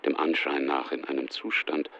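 A man reading scripted present-tense scene narration for a radio drama, with a gravelly voice. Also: very deep voice, level tone, moderate pace, sharp tone, dull audio.

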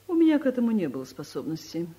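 A woman's voice: medium pitch, big pitch swings, rapid-fire, dark tone, close to an everyday voice. An actress plays a domineering female director in a radio play. She is formal, dry and categorical, giving blunt verdicts with strongly varied intonation. Her manner is imperious. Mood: dry, reserved. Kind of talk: radio play comedy acting.

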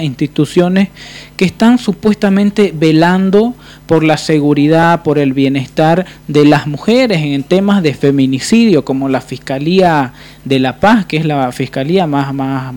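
A man's voice: a little high, a little mellow. A man hosting a live radio discussion, speaking from the studio. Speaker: radio host.

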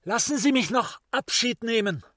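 An actor playing a tormented, brooding writer, deliberate and dramatic in a radio play. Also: male voice, very high voice, animated, pushed and bright, exaggerated voice. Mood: urgent. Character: tormented, brooding writer, bitter yet fervent.

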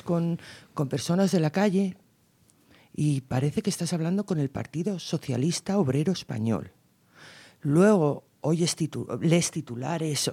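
Woman from Guadalajara, campaigner on a panel; mellow, darker tone, earnest and emphatic. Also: low voice, slightly rough. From Guadalajara, Spain. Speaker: political campaigner. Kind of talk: radio panel discussion.

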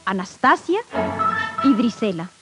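A woman's voice: medium pitch, sing-song, unhurried. A woman narrates a scripted children's story, speaking directly to her young listeners. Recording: old 78 rpm record, music behind.

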